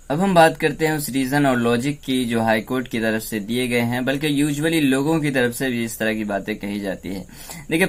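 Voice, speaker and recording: A man giving explanatory commentary, laying out points step by step in a didactic way and mixing in English words. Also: mid-range voice. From India. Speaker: presenter of a political analysis video.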